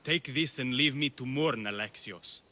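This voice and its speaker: An actor playing a gentle, lovelorn middle-aged Greek philosopher in a video game, speaking in a reflective, hesitant way. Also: male voice, mid-range voice, normal speed, background noise, natural voice. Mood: grieving, resigned. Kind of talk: video game dialogue acting. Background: game music.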